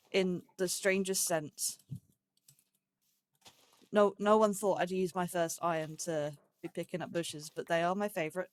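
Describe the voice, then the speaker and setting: Woman rambling through casual let's play commentary. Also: fairly low pitch. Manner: rambling, thinking aloud. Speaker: host of a gaming let's play series.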